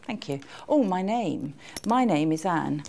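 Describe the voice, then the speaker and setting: A woman's voice: on the low side, lively intonation, measured pace, vocal fry, dark tone, slight background noise. A middle-aged woman who is an author and seminar attendee, giving an informal spoken testimonial.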